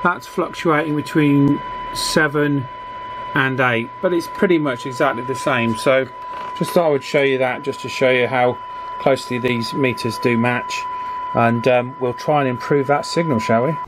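A man's voice: medium pitch, steady tone, normal speed. A man giving a casual hands-on electronics tutorial, thinking aloud informally as he works at an indoor workbench. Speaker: electronics hobbyist demonstrating radio alignment. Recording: clear background noise from workbench.

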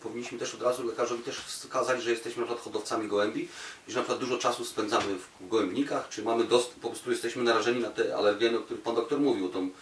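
A man's voice: mid-range voice, steady tone, very fast, a little hiss or hum. A man interviewing in a conversational style, putting long, rambling, informal questions. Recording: roomy echo, distant from the mic.

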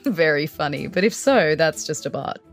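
A woman's voice: deep voice, lively intonation, moderate pace. A woman presenting theatrically as a game show host.

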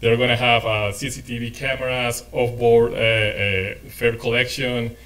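A man's voice: medium pitch, flat delivery, a little slow, fairly bright tone. A man in his forties with a Spanish accent, whose first language is Spanish, presents at a podium in a hotel meeting room. He talks in a friendly, loose way with many fillers. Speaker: transit technology presenter. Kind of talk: conference presentation. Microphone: podium microphone.

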